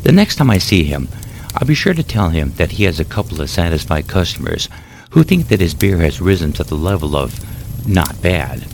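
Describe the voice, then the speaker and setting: A man voicing a poised, wicked female vampire who speaks in a calm, measured, dryly amused way. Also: low voice, a little hiss or hum. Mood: wry.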